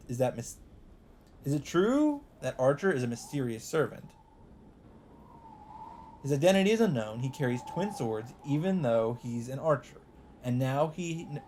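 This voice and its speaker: A man's voice: medium pitch, a little hiss or hum. Man dramatically reading a visual novel. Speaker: let's play host reading the game's text aloud.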